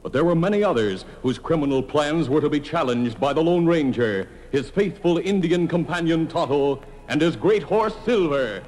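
A man's voice: on the high side, animated, normal speed, hardly any pauses, a little mellow, a little hiss or hum, rough recording. A man narrating an old-time radio drama in a dramatic, scripted style. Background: dramatic music.

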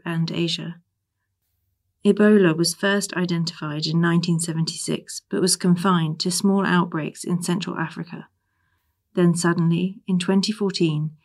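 Lowish-voiced woman reading a formal essay. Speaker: reader of a history article. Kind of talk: scripted narration, reading an essay.

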